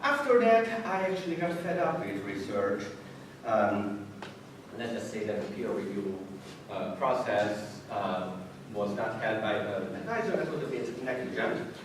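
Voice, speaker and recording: Echoey, animated Hungarian-accented man. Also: fairly low pitch. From Budapest, Hungary. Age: 30s. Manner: halting, self-deprecating humor. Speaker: college science teacher giving a talk. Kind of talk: informal autobiographical talk to an audience. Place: echoey indoor room before an audience. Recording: echoey room, distant mic, noisy background.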